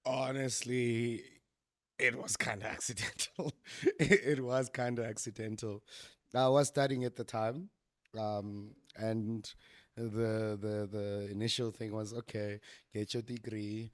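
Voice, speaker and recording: Musician guy in his 30s on a podcast, giggles a lot, kinda halting, keeps restarting. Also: on the low side, steady tone. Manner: giggly, hesitant, many restarts. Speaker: musician guest. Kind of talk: casual interview chat.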